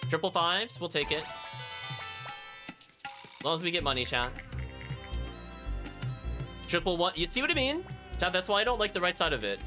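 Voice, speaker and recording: Casual male game streamer over loud music. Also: fairly high pitch. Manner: chatty, thinks aloud, addresses the chat. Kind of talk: casual live gameplay commentary.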